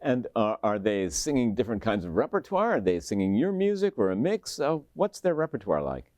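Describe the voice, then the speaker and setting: A man's voice: fairly low pitch, big pitch swings, a little fast, darkish tone, no echo, studio quality. A man hosting an interview show, introducing his guest and asking questions.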